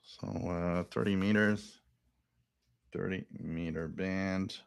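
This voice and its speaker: Low, smooth-voiced man musing on air. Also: slow pace, no room sound, clean studio sound. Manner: musing, thinks aloud, repeats phrases; excited bursts over odd signals. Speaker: radio stream host. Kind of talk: casual live commentary.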